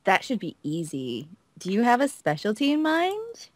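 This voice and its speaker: A woman co-hosts a gaming video with very lively, swinging intonation and a dry, close sound. She acts out dialogue playfully, with a teasing, giggly edge. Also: a little high, moderate pace, no echo. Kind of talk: playful acted reading of visual novel dialogue.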